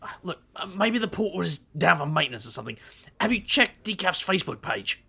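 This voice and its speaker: A man playing a roguish, sardonic supernatural fellow with dark secrets in an audio drama, speaking wryly. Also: high voice, sing-song, brisk, gravelly, slightly rough, heavily acted. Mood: wry, tense.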